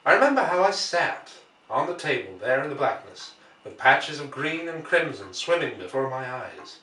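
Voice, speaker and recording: A man's voice: medium pitch, sing-song, moderate pace, pushed and bright. Echoey, distant man reading a story aloud. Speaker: audiobook reader. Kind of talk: dramatic literary narration, reading aloud. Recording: echoey room, distant microphone.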